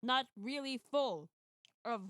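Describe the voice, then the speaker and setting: A woman's voice: high voice, animated, takes it slowly, a bit bright, no echo. A woman streamer reading a visual novel's first-person narration dramatically, playfully breaking off for casual asides.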